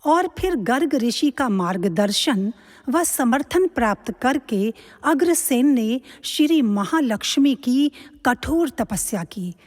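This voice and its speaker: A woman giving scripted storytelling narration for a mythological audio drama. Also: on the high side. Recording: long reverberant hall echo.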